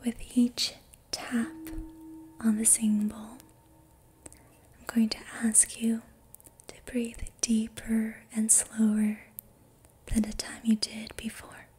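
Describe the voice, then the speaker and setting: A young adult woman whispering softly and breathily close to the mic, guiding a relaxation in a gentle, soothing ASMR style. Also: a little high, completely flat delivery, slightly rough. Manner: slow, gentle, soothing instruction. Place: small dim indoor room. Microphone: close studio mic with foam windscreen.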